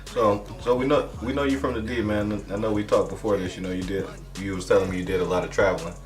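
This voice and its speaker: A man's voice: lowish voice, fairly even intonation, quick pace. A man hosting a casual interview, easygoing, with "that's what's up" as a regular reply. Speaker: interview host. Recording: roomy, distant mic, music behind.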